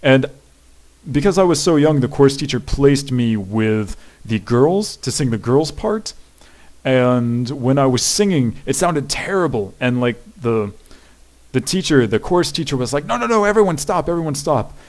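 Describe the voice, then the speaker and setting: A young man with a deep voice talks through viewer questions at home. His pitch sits in a middle range for a man. He rambles loosely, restarting sentences and leaning on fillers as he goes. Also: lively intonation, moderate pace, a little mellow. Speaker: YouTuber answering viewer questions. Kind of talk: casual Q&A chat.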